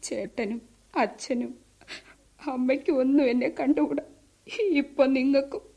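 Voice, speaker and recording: An actress playing a distressed young wife in a radio drama, pleading plaintively in a southern Kerala Malayalam accent. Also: female voice, sits high, moderate pace, gravelly, dark tone, highs cut off. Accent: Kerala Malayalam, southern Travancore. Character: distressed, pregnant young wife, caught between family and husband. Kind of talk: mid-century Indian radio drama acting.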